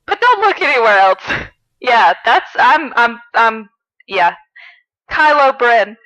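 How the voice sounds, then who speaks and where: A woman's voice: on the high side, very animated, takes it slowly, a bit bright, no echo, highs cut off. A woman co-hosting a podcast in casual comedic banter, playful and self-deprecating with dry jokes. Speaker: podcast co-host.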